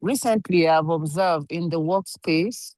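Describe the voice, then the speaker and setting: A woman joining an online workshop to make a polite, measured comment about her experience at work. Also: fairly low pitch, darkish tone, no room sound. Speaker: workshop participant.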